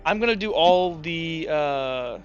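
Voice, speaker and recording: A man's voice: fairly high pitch, animated, slow. A man acting as game master, improvising narration and handling table talk in a tabletop roleplaying game. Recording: dull muffled audio, music behind.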